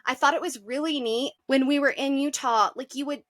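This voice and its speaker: A woman's voice: high-pitched, steady tone, fairly quick, a bit bright, no echo, studio quality. A woman co-hosting a podcast in casual chat, rambling a little with fillers as she talks. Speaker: podcast co-host.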